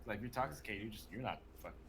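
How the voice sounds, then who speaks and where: A man's voice: on the low side, animated, very fast, gravelly, a bit bright. A young man chatting casually in a group, informal and slangy. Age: young adult. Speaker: livestream panelist. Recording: noisy livestream mic with clicks.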